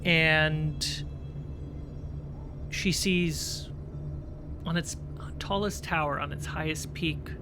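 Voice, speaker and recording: A game master narrating a tabletop game in a deliberate, halting way, pausing while setting the scene. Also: mid-range voice, steady tone, over music. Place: online livestream video call. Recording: clearly noisy background.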